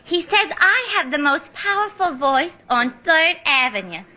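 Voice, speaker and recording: A woman's voice: high-pitched, very animated, unhurried, very noisy. An actress playing a naive, brassy young woman in a 1950s radio comedy, with a dim, sing-song delivery.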